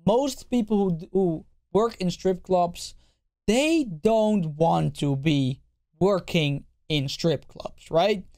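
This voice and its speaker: Blunt, profane male streamer with a dark voice. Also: sits high, lively intonation, takes it slowly. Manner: blunt, profane, repeats himself. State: losing his voice. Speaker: streamer reacting to a video. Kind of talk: casual reaction commentary.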